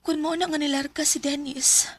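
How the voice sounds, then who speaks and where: A woman's voice: high-pitched, level tone, normal speed, natural voice. A woman playing a stubborn, lovelorn young daughter in a radio drama, with a Bisaya accent. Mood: jealous, angry. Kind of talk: Bisaya radio drama acting with confiding narration.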